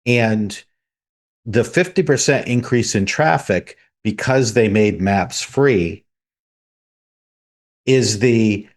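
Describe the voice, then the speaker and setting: A man co-hosting a podcast, talking through business news in a measured, step-by-step way. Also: on the low side. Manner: measured, explains step by step with sports analogies. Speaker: podcast co-host. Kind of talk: casual analytical chat.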